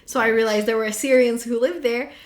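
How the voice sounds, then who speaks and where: A woman's voice: a little high, steady tone, fast talker. Curious woman asking interview questions. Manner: conversational, curious. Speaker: podcast interviewer.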